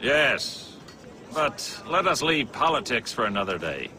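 A domineering colonial official. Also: male voice, medium pitch, very animated, unhurried, background noise. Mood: courteous. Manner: suave then cutting. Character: colonial official, courteous to peers, bullying to soldiers. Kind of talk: video game cutscene acting.

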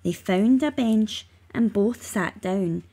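A woman reading rhyming children's narration aloud, expressively and with measured emphasis. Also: mid-range voice, mellow, slightly rough. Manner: measured, emphatic on sound words. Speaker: storybook reader.

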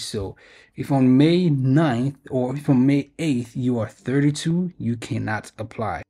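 Guy with a medium pitched slightly raspy voice doing a casual video walkthrough, talks conversationally. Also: darkish tone. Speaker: video host sharing job openings. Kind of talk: informal explainer commentary reading from a web page.

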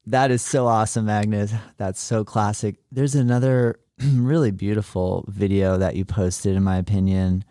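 An American podcast host with a low, mellow voice chats eagerly with his guest, praising him over and over. The sound is dry and close, like a voice right at the mic. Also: steady tone, moderate pace, few pauses, no echo. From United States. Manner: enthusiastic, effusive praise. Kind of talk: casual interview chat.

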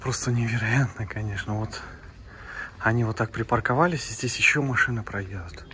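A man's voice: fairly low pitch, moderate pace, bright, forceful tone. Chatty man vlogging outdoors, street noise. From Kazakhstan. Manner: chatty, addresses viewers as friends, fillers. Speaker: travel vlogger. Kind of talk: casual travel vlog narration. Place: outdoors in narrow town streets and in a car.

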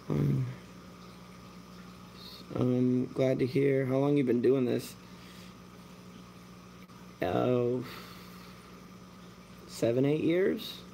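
Brief: relaxed thirties guy, gravelly low-key voice, rambling livestream chat with a faint hum of room noise. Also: poor audio. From born in Germany, raised American. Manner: rambling, relaxed, talks while working, many fillers. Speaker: mantis breeder and shop owner. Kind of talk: casual live stream Q&A. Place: dim indoor shop at night.

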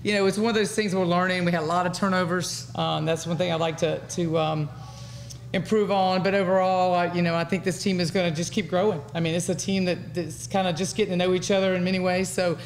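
A middle-aged American woman, a basketball coach answering at a press conference in a measured, halting way. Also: lowish voice, level tone. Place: indoor press conference room. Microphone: table microphone. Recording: faint room noise.

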